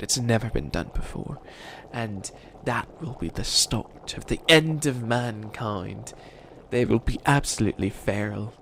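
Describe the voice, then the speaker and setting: Bumbling evil bunny witch , male performer, comedic ASMR: theatrical, rambling schemer, gravelly creak, slight noise behind. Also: medium pitch. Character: bumbling, dramatic bunny witch who thinks himself evil.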